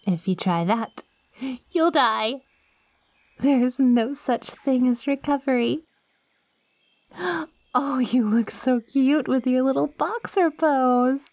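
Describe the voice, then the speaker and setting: A woman's voice: high-pitched, slow pace, pauses often, a little mellow, no echo. A woman playing a lonely, possessive plant-girl monster in an ASMR roleplay, coaxing and teasing the listener in a theatrical way. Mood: smugly menacing. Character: lonely, possessive yandere plant girl, ancient yet girlish.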